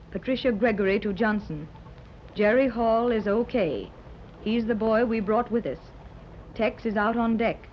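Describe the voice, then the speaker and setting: An actress playing an earnest, hopeful wealthy mother, spoken clearly in the style of a 1930s radio serial. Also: on the high side, lightly acted. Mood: businesslike. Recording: dull, noisy 1930s radio recording.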